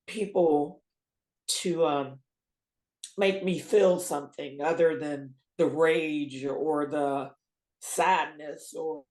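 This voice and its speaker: Slow, low-voiced woman in a roomy space. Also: darkish tone. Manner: halting, searching for words. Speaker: patient sharing her story. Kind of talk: emotional interview answers. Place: home room. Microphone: video call webcam. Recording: roomy webcam sound.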